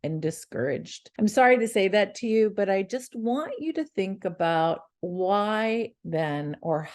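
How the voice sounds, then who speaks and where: A woman's voice: mid-range voice, darkish tone. A middle-aged woman hosting a podcast, giving an earnest motivational talk straight to her listeners. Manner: earnest, coaching, addresses listener directly. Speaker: podcast host.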